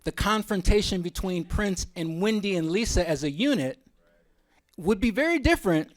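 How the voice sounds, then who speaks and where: Guy presenting at a symposium, voice a bit on the high side, informal, opinionated, goes off on tangents. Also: animated, moderate pace.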